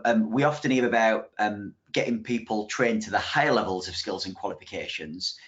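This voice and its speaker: Low-voiced man moderating a webinar. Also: fairly bright tone, muffled recording. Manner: hesitant, filler-heavy. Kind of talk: moderating a panel.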